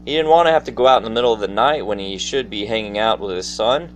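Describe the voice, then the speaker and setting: A man's voice: fairly low pitch, quick pace, poor audio. A man telling personal stories in a casual monologue, addressing his listeners directly in a theatrical, folksy manner. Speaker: storyteller. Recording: faint background noise.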